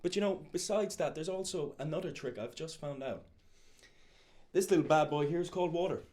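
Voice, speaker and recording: A young man acts out a TV studio anchor in a parody broadcast. He presents as a co-host in a deadpan, mock-earnest way, treating silly material as serious news. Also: a little high, fairly quick, natural voice.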